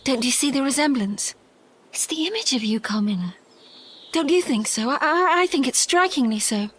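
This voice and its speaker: An actress playing a dreamy, impressionable young gentlewoman in a gothic audio drama, breathy and hesitant. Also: high voice, expressive, normal speed, exaggerated voice. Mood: shy, affectionate.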